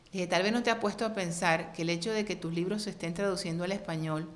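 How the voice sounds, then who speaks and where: A middle-aged woman from Colombia speaks as a guest in a relaxed interview. She tells her story with animated expression and changing intonation, acting out what others said. Her voice sits a little lower than most women's. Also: quick pace. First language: Spanish. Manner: lively, expressive, acts out quoted voices.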